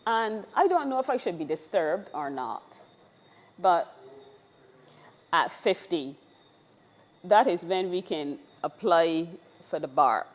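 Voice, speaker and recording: A woman's voice: lowish voice, expressive, takes it slowly, long pauses. A woman with a Barbadian accent hosting a casual interview, recorded with a long, hall-like reverb. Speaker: interviewer, host.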